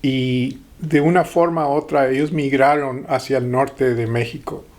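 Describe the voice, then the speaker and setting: Middle-aged man from the border, slow and hesitant, interview guest. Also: medium pitch, steady tone, darkish tone. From Texas-Mexico border. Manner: hesitant, many pauses and fillers. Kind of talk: interview conversation.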